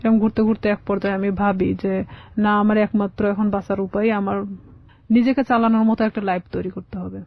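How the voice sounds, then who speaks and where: Casting: young Bangladeshi Bengali woman, interview guest recounting their life, quick delivery, close dry sound. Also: mid-range voice, fairly even intonation, creaky, dry recording, dull audio. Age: young adult. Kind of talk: personal storytelling in a radio interview.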